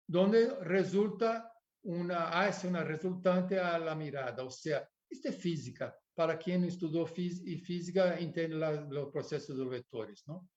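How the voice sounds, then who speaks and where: A man whose first language is Portuguese gives an academic architecture lecture with a Portuguese accent, pausing to search for words and often adding tag questions. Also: high-pitched, a little mellow. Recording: dull lo-fi recording.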